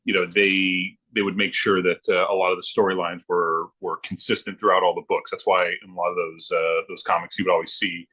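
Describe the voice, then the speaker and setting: A man's voice: low voice, flat delivery, fairly quick, few pauses, sharp tone, low-quality recording. A man speaking as a podcast guest in casual chat, agreeable and thinking aloud with hesitations. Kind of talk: casual chat about comic books.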